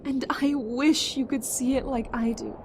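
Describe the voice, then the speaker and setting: A woman's voice: sits high, steady tone, unhurried, a little hiss or hum. A woman playing a fierce, stubborn yet tender young survivor in an emotional audio drama, speaking with earnest, emphatic pleading. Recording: dramatic music behind the voice.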